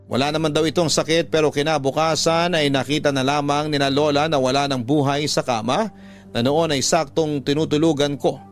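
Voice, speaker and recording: Guy acting as young man telling his own story, radio drama narration, slightly high voice, music under it. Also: close to an everyday voice. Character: young male letter writer recounting his haunting. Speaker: first-person narrator.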